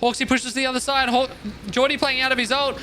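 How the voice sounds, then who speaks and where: Very high-voiced excited male caster. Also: heavy background noise. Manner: fast, shouting at big plays. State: losing his voice. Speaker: esports caster. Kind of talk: excited play-by-play commentary.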